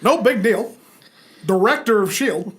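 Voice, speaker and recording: A beleaguered henchman dad in a comedy comic reading, played by a man. He is sheepish and defensive, offering halting excuses with a lively, varied pitch. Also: slight background noise. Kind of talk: amateur comic dramatic reading.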